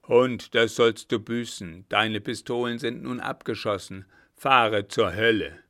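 A man's voice: lowish voice, a little slow. A man voicing a furious male robber who snarls out threats. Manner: snarling, loud.

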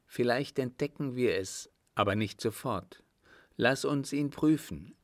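A man voicing a suspicious younger desert man in an audiobook, with a deliberate, insistent and formal manner. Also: medium pitch, no room sound, clean studio sound, natural voice. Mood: calculating. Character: earnest, suspicious younger desert man advising his elder.

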